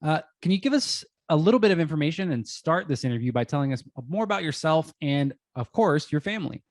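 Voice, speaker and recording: A man's voice: on the high side, sing-song, no room sound. Friendly male host with a dry sound. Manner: friendly, measured interviewer. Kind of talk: interview podcast.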